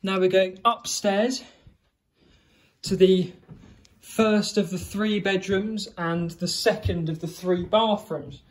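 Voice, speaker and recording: A man's voice: high-pitched, fairly even intonation, slow pace. A young man filming a chatty, meandering tour of a small holiday cottage on a handheld phone, walking from room to room. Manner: chatty and meandering, with fillers and self-corrections. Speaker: vlogger. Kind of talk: casual house tour. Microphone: phone camera held in hand.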